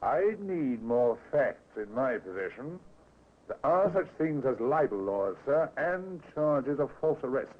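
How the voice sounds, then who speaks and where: A man acting a brisk, authoritative Victorian police inspector in a 1950s radio drama, questioning formally. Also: mid-range voice, very animated. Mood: probing, cool. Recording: thin, phone-like old radio recording, noisy.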